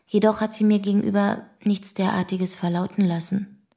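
A woman's voice: medium pitch, little pitch movement, normal speed, gravelly, mellow, lightly acted. An actress playing a prim, proper woman in a German radio drama, speaking in a formal, reserved way. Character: prim, proper woman recalling her past acquaintance. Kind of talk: first-person recollection in German radio drama.

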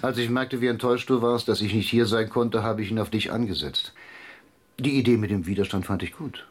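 A man's voice: fairly low pitch, brisk, slightly rough. An actor playing a manipulative, teasing man with an ironic, self-satisfied manner in a German radio drama. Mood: smug, taunting.

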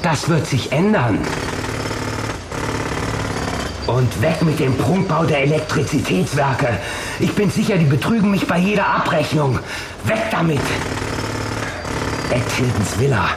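An actor in a German radio play voicing an embittered, obsessed hobby builder who mutters resentfully and with determination. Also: male voice, on the high side, expressive, moderate pace, background noise. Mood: zealous, indignant. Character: embittered, obsessed model-town builder, a grown married man.

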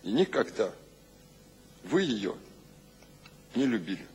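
A man's voice: lowish voice, sing-song, slow, darkish tone, slightly rough, full character voice. An actor playing an intense, bitter yet exalted male poet in a radio drama. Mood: impassioned. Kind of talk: theatrical radio drama dialogue.

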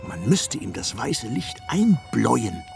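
An actor playing a grumbling, queasy poet in a German radio drama, muttering and groaning theatrically. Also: male voice, medium pitch, big pitch swings, takes it slowly, gravelly, darkish tone, soft background music, heavily acted. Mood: disgusted musing. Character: grumbling, ailing male poet Goethe with queasy stomach.

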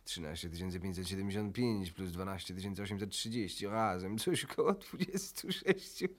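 A man's voice: sits low, expressive, fairly quick. An actor playing a vain, jaded womanizing count in a radio play, with a languid, affected manner and French phrases dropped in. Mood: weary, boastful. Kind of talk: theatrical Polish radio play acting.

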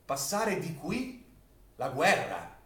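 Wartime villager witness, expressive and bitter radio-play monologue, high voice, echoing room. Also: male voice, slow pace, exaggerated voice. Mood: wry, confiding. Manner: expressive, dramatic storytelling delivery. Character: Italian villager witness recounting wartime German occupation, bitter, vivid. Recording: roomy, distant stage recording with faint noise.

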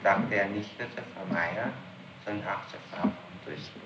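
A man's voice: lowish voice, normal speed. Insistent male prosecutor on noisy echoey tape. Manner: insistent, official, citing witness statements. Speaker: public prosecutor questioning a suspect. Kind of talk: formal interrogation. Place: echoey room, taped interrogation.

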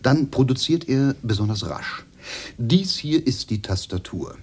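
A man's voice: mid-range voice, animated, measured pace, a little mellow, breathy edge. An actor in a radio play voicing a glib, eager American salesman who chats through his sales patter. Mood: smooth, explaining.

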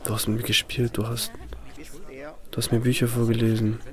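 An actor playing a hurt, insistent younger man in a naturalistic radio drama, speaking in a halting, repetitive, pleading way. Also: mid-range voice, monotone, natural voice. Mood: accusing, agitated. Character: wounded, insistent younger man confronting an older man.

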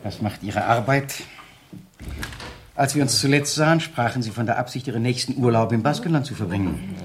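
An actor in a radio drama playing an urbane, controlled middle-aged doctor who talks in a cultivated, patronizing way. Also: male voice, mid-range voice, lively intonation, fairly quick, lightly acted. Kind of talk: 1970s radio drama acting. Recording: 1970s radio recording, faint noise.

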